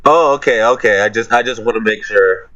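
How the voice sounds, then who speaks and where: Man, lowish pitch, casual panel guest, joking, informal, conversational. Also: brisk, sharp tone, lo-fi sound. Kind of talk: casual group Q&A chat.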